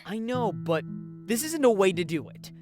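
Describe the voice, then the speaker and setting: A woman voicing a caring, protective male cartoon hedgehog, gentle and concerned like a big brother, in a fan drama. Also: mid-range voice, sing-song, normal speed, over music, lightly acted. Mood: coaxing.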